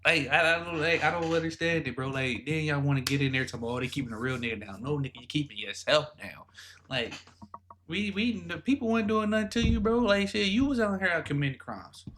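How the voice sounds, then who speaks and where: A man's voice: a little high, lively intonation, fast, a bit bright, a little hiss or hum. A man hosting a reaction video in casual, slangy, repetitive speech with an incredulous manner.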